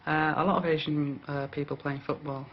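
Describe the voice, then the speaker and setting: A man's voice: fairly high pitch, little pitch movement, fairly quick, background noise. A man born in Britain, a football fan, giving thoughtful interview answers with some hesitation, on dull 1980s documentary audio.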